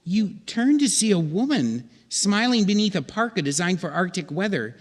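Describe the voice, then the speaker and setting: A man's voice: high voice, very animated, moderate pace. A man narrating a comic sketch theatrically in old-time radio style, on a reverberant theatre stage.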